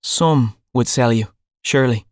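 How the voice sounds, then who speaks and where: A man's voice: medium pitch, measured pace, no room sound, studio quality, voice pushed up, lightly acted. A man voicing a young, stern male pirate captain who speaks in cold, clipped commands. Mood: cool, mocking.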